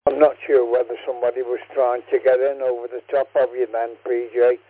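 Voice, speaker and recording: A man's voice: mid-range voice, little pitch movement, a little fast, no room sound. A man chatting on an amateur radio frequency, who laughs easily and stumbles over his words. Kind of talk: casual radio chat. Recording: tinny dry radio transmission.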